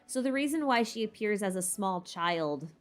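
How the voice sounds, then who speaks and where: A woman's voice: medium pitch, lively intonation, normal speed, soft background music. A woman streamer chatting casually and thinking aloud in a tentative, rambling way as she answers questions. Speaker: streamer hosting a lore Q&A. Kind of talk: casual rambling livestream chat about game lore.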